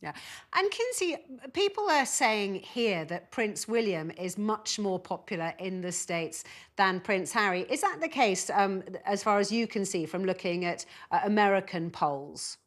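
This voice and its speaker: A woman's voice: mid-range voice, animated, unhurried. British woman presenter, conversational questioning. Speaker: TV news presenter. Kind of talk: live news interview questioning.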